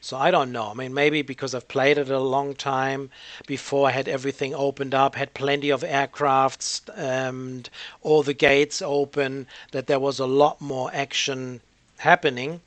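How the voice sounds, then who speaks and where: A man's voice: mid-range voice, little pitch movement, a little slow, a bit bright, no room sound. A man giving casual gameplay commentary as the host of a gaming channel, hesitant and rambling as he thinks aloud.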